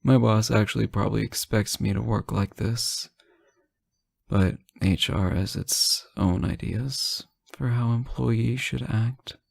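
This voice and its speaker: A man playing a sleep-deprived, teasing boyfriend on an intimate late-night call, soft-spoken, hesitant and gently joking. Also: fairly low pitch, fairly even intonation, takes it slowly, vocal fry, no room sound, heavily acted. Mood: tired, defensive. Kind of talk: intimate audio roleplay, one side of a phone call.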